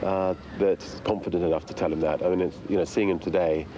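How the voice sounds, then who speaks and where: Hesitant low-voiced man, rather flat. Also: quick pace, background noise. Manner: hesitant, stammering repetitions, many "you know"s. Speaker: interviewee, friend of the documentary's subject. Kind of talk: unscripted documentary interview.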